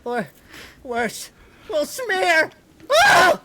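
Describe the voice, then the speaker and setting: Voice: very high-pitched, wildly swooping pitch, very slow, bright, forceful tone, full character voice. An actor voicing a wry, chatty piece of bubble gum, the optimist of a group in a comedic audio drama. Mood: alarmed. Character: soothing, sardonic, optimistic team-minded piece of bubble gum.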